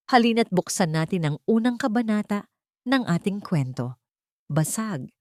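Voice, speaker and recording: A woman narrating a scripted audiobook story in a deliberate, dramatic way. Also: fairly low pitch, darkish tone, dry recording, clean studio sound.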